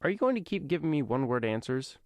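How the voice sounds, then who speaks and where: A man's voice: on the high side, sing-song, fast, a little mellow, no echo, pitched above the performer's own voice. A man voicing a confused teenage male vigilante who asks questions in an audio comic story. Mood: curious, puzzled. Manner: bewildered, questioning.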